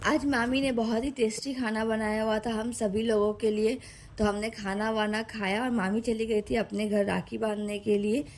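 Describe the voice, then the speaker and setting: A young woman gives a casual vlog voice-over. Her voice sits a little high and sounds slightly hoarse from a tired throat. She chats informally and drops English words into her sentences. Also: little pitch movement, normal speed. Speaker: vlogger.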